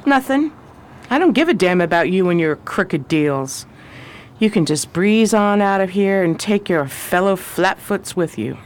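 An actress playing a shrewd, steely adventuress with a sardonic, commanding manner in a 1920s crime radio drama. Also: female voice, fairly low pitch, lively intonation, a little slow. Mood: dismissive.

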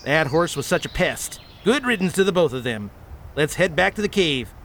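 An actor playing a scheming, bossy leader of a counterfeiting gang in a western radio drama, curt in manner. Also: male voice, a little high, big pitch swings, normal speed, a bit bright. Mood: bossy, dismissive. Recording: faint outdoor ambience and noise.

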